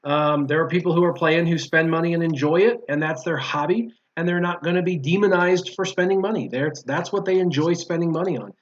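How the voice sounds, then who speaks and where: A man's voice: a little high, brisk, few pauses. A man talking casually and with strong opinions as a guest in a gaming discussion, repeating his points for emphasis. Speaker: guest, former mobile game player. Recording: dull lo-fi audio.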